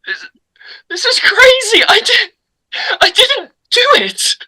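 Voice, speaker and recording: A woman's voice: very high voice, huge pitch swings, slow pace, bright, forceful tone, full character voice. A woman playing a scared, defensive teenage boy in an amateur script read, stammering and pleading. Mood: distressed.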